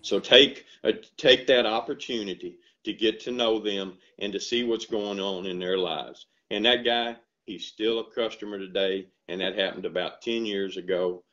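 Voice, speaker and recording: Earnest older farmer on a headset. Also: male voice, lowish voice, normal speed, few pauses. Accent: Southern US. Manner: animated storytelling, earnest and folksy. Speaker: dairy farmer and ice cream shop owner. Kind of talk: casual interview over video call. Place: home room with bookshelves. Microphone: headset on video call. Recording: slightly dull lo-fi call audio.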